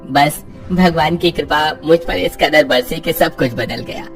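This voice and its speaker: A man's voice: fairly high pitch, measured pace, fairly bright tone, soft background music. A man voicing a prosperous friend who gives advice in a cartoon story. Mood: contented. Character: prosperous adult male friend, once a poor labourer, advising. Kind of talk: scripted cartoon story dialogue.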